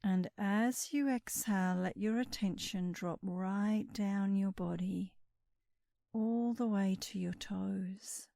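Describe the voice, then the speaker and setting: A woman instructor narrates a guided meditation for sleep. Her voice is mellow and relaxed, mid-pitched, and soothing. She speaks very slowly, letting phrases trail off into breath. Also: gravelly, dry recording.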